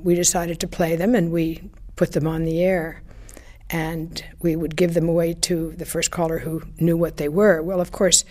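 A woman's voice: fairly low pitch, a little mellow. Older woman telling radio anecdotes. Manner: chatty anecdotal storytelling with laughs and fillers. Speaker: former radio broadcaster interviewee. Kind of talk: reminiscing interview.

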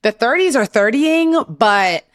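A woman's voice: fairly high pitch, lively intonation, unhurried, dry recording. Encouraging woman host, close dry sound. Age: 30s. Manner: affirming, encouraging, reflective. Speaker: interview host. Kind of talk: casual supportive interview chat.

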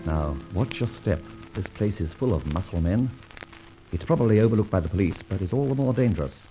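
An actor playing a suave, flippant gentleman adventurer, bantering and clipped, in an old radio drama. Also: on the low side, animated, brisk, over loud music. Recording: muffled old radio transcription.

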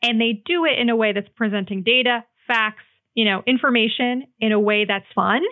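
A woman's voice: fairly high pitch, normal speed, no echo, narrow, tinny audio. A woman guest chatting casually on a podcast, informal and jokey in a self-deprecating way.

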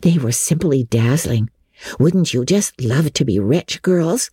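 A woman's voice: extremely low pitch, expressive, a little slow, dark tone, breathy edge, no room sound, studio quality, full character voice. A woman voicing a wistful teenage girl who sighs and dreams of riches, in a storybook reading. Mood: wistful, excited. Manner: sighing, wistful.

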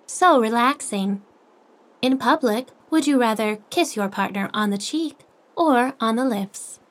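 A high-voiced, chirpy female AI host from an audio drama. She is relentlessly upbeat and scripted, like a game host working through set phrases. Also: expressive, unhurried. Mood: cheerful, polite. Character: chirpy artificial intelligence matchmaking host, female.

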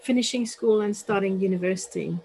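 A woman's voice: medium pitch, a little fast, vocal fry, warm tone, breathy edge, rough recording. A woman hosting a conversational interview, asking questions and sharing her own reflections. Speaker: interviewer, host.

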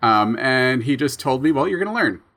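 A man's voice: medium pitch, animated, moderate pace, a bit bright. A young man co-hosting a casual podcast chat, explaining his sound design habits in a thoughtful, self-deprecating way. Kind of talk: casual conversation.

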